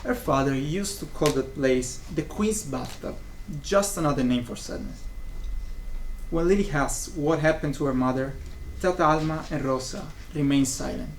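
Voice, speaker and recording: A man's voice: fairly high pitch, moderate pace, poor audio. A young man reading scripted narration of a stage play. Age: young adult. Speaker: student narrator of a play summary. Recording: faint room noise.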